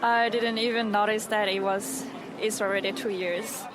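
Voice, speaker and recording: A woman's voice: medium pitch, level tone, moderate pace. A woman from Korea speaking English with a Korean accent, answering a street interview carefully. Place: busy city street outdoors. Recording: street recording with crowd and traffic.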